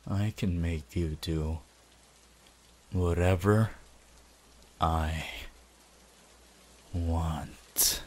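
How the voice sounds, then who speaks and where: A man voicing a calm, menacing young anime villain in an ASMR roleplay, coldly controlling, with deliberate, mock-polite phrasing. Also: low-pitched, a little hiss or hum. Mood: gloating, hypnotic.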